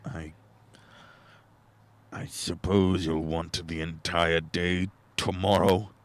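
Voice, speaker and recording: A man's voice: sits low, flat delivery, takes it slowly. A man playing a grumpy, miserly old employer in a radio play, curt and scornful, grumbling and barking orders. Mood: grudging, scornful.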